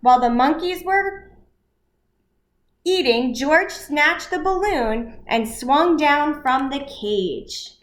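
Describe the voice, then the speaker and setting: A woman with a high voice reads a picture book aloud to small children. She takes her time over simple phrases, like a school educator addressing young listeners. The room adds a noticeable echo. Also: expressive, slow. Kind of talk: children's storybook read-aloud.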